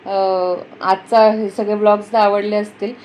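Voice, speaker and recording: Female travel vlogger, medium pitch, conversational storyteller with repeated phrases, some noise around her. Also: level tone, a little slow, a little mellow, low-quality recording. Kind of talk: casual vlog chat.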